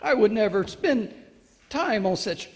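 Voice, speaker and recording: Casting: male actor as boastful, excitable ancient carpenter for stage monologue; very animated, emphatic, halting delivery, long hall reverb. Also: high-pitched. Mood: reflective, proud. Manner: emphatic, halting, repeats phrases, addresses listeners directly. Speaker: monologue performer. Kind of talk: theatrical church monologue.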